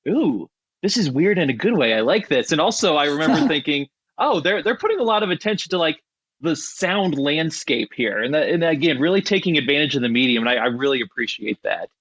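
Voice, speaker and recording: A man's voice: on the high side, very animated, brisk, fairly bright tone, breathy edge, dry recording. A man hosting a podcast in a casual, enthusiastic and informal conversational style. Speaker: podcast host.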